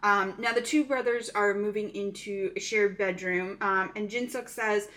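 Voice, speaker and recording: A woman's voice: mid-range voice, fairly even intonation, moderate pace, a bit bright, poor audio. A woman casually recapping a thriller movie plot, chatty and full of "um" fillers.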